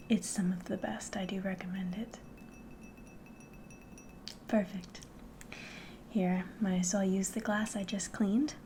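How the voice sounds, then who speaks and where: A woman playing a friendly, curious innkeeper in an ASMR roleplay, speaking softly and politely, often slipping into a whisper. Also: medium pitch, slight background noise, close to an everyday voice. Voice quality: soft, breathy, often whispering. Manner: gentle, unhurried, polite, hospitable. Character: friendly, curious female innkeeper of a fantasy tavern.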